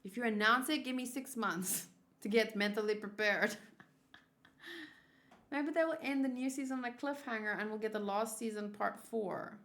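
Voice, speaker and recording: High-voiced woman chatting casually on stream. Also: moderate pace, fairly bright tone. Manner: rambling, thinking aloud, often repeating herself. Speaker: streamer hosting a manga read-along. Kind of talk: casual livestream chat with viewers.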